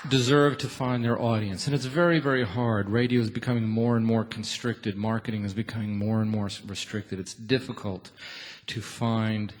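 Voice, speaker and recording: A man's voice: on the low side, fairly even intonation, moderate pace. A man hosting a live radio show on stage, addressing the audience in an earnest, emphatic way. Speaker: radio show host and folk singer. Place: on stage in a theatre.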